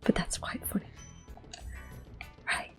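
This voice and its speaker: Female ASMR gamer, breathy whisper, gentle and reassuring, close-miked, talking through gameplay over soft music. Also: takes it slowly. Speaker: ASMR gaming host.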